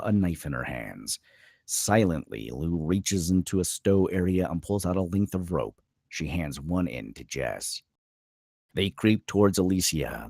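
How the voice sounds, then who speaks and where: A man with a very low voice narrates a screenplay. His delivery rises and falls animatedly, and the sound is dry and close. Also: no echo. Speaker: narrator of stage directions. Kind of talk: scripted narration at a screenplay table read.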